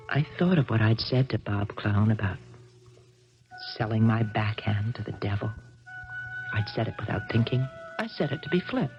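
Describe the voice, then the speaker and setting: A woman's voice: rumbling low, full character voice. An actress playing a bitter, weary woman tennis star in a 1970s radio drama, who also narrates. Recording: dull lo-fi radio broadcast with music.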